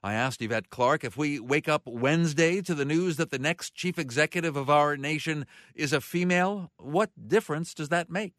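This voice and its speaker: Radio news guy, kinda high voice, dead dry studio sound, soft music under him. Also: dry recording. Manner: measured, crisp broadcast delivery.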